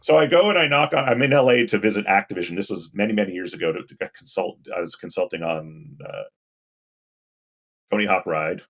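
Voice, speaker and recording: A man with a deep voice talking casually and anecdotally as an interview guest. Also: fairly quick, fairly bright tone. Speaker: podcast guest, game industry UX professional.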